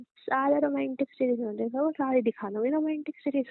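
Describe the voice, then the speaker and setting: High-voiced sassy young woman on the phone. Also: fairly quick, vocal fry, no room sound. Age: young adult. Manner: sassy, curt, mocking. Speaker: girlfriend in a phone call. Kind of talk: casual relationship banter on a phone call. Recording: dry, narrow tinny phone-line sound.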